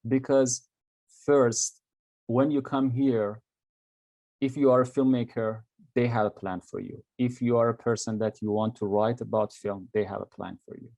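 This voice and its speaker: A young man from Iran with an Iranian accent, Persian his first language, answering interview questions in a halting way, often pausing with fillers and restarting sentences. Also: mid-range voice, steady tone, gravelly, darkish tone, no room sound. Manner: halting, searching for words with many fillers and restarts. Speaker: graduate film student.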